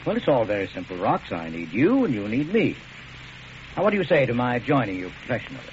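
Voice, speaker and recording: Casting: cultured doctor among crooks, polite, erudite, very varied intonation, dull old radio recording. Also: male voice, medium pitch, huge pitch swings, quick pace, a little hiss or hum. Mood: smooth, assured. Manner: polite, professorial, hesitant, wordy. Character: genteel, erudite male doctor posing as cool criminal. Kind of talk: 1940s radio acting.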